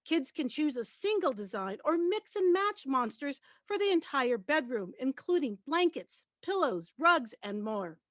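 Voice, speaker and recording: A woman's voice: high-pitched, sing-song, a little slow, hardly any pauses, no echo, clean studio sound. A woman giving a dramatic reading of promotional copy. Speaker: panelist, radio and TV host. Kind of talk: reading a press release aloud as a dramatic reading.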